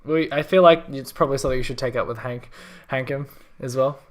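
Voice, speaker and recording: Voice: mid-range voice, fast talker. A live-stream host chatting casually over an online call, laughing often and hedging with a lot of fillers and restarts. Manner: giggly, filler-heavy, hesitant.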